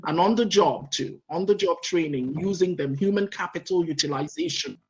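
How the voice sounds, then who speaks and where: A woman's voice: on the low side, normal speed, rough recording. A middle-aged woman, answering on a panel with energy, listing her points and checking in with "right?". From Nigeria. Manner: energetic, lists points, keeps adding "right?".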